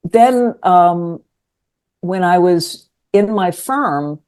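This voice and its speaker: An older woman from New York City, a lawyer and writer, answering interview questions in a thoughtful, hesitant way with many pauses. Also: lowish voice, animated, darkish tone. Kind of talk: conversational interview.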